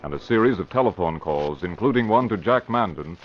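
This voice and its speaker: A man's voice: deep voice, lively intonation, fairly quick. A man narrating a vintage radio crime drama in a formal scripted storytelling style. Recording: dull vintage radio recording. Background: music.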